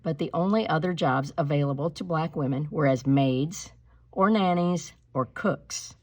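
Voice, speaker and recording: A woman reading a storybook aloud like a teacher, with deliberate, expressive phrasing and instructive delivery. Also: low voice, no room sound. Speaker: teacher reading a picture book aloud to pupils.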